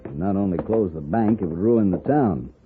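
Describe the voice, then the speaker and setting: A man's voice: low-pitched, lively intonation, moderate pace, narrow, tinny audio, noisy background. An actor playing a worried, guarded businessman in a 1950s radio western, on an old broadcast recording. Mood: grave, worried. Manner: grave, guarded.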